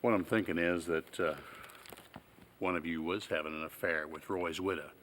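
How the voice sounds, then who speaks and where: An actor as a very low-voiced small-town sheriff in a naturalistic film drama. He is unhurried in temper, folksy and deadpan, feeling his way shrewdly through his words. Some noise sits behind the voice. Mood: dry, methodical. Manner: deadpan, halting, folksy and polite.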